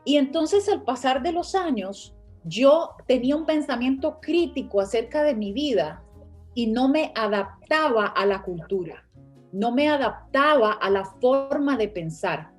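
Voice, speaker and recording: A woman's voice: high voice, lively intonation, unhurried, soft background music. A woman from Rica, a finance teacher speaking as the guest in an online interview and explaining her ideas step by step. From Costa Rica.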